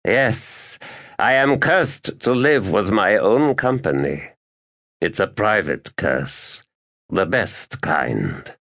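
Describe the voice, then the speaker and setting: A man voicing an ancient, cynical male sorcerer in a fantasy audiobook, speaking with dry, deliberate theatricality. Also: low voice, big pitch swings, gravelly, fairly bright tone, heavily acted. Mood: wry, bitter.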